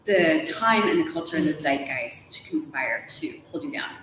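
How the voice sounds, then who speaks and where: A woman in her forties, an author being interviewed, telling stories in an animated, informal way full of casual "likes". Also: low-pitched, moderate pace. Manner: animated storytelling, informal, uses "like" often. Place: large hotel room, indoors. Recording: echoey room, faint background noise.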